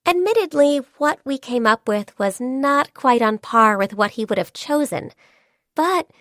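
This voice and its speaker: An actress playing a gentle, modest young woman secretary in a video game, soft-spoken, polite and hesitant, easily flustered. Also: a little high, no echo, clean studio sound, close to an everyday voice. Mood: modest, reflective. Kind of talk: scripted video game character voice lines.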